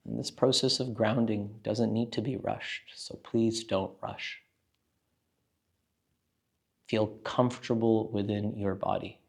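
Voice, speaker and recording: A man with a low, gravelly voice leads a guided meditation. His delivery is calm and gentle, staying very even in tone. He takes his time, leaving long pauses between instructions. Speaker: meditation teacher.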